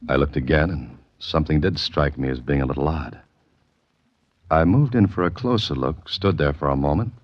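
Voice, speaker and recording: An actor playing a laconic, wisecracking writer-adventurer with an American accent, narrating a 1940s radio mystery. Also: male voice, rumbling low, moderate pace, husky. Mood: wry narrating. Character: dry, wry writer and amateur adventurer, male narrator. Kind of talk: 1940s radio mystery acting, hard-boiled first-person narration. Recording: muffled 1940s radio recording with music.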